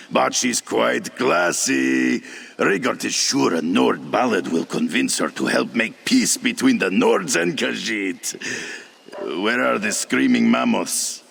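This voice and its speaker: An actor playing a hearty Nord warrior bard in a fantasy video game, with a gruff, raspy voice. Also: male voice, medium pitch, fairly bright tone, heavily acted. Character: boisterous male Nord warrior bard who speaks in third person.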